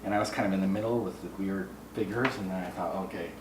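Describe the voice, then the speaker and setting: A man's voice: low-pitched, steady tone, fast talker. An American man from the Midwest talking casually and personally about himself and his views. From US Midwest, Minnesota. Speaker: artist. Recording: echoey, noisy room, distant mic.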